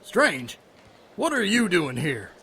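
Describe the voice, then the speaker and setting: A man's voice: sits high, huge pitch swings, slow, sharp tone, slightly rough, exaggerated voice. A man playing a brusque, authoritative, irritable police lieutenant in a radio drama. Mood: brusque, annoyed.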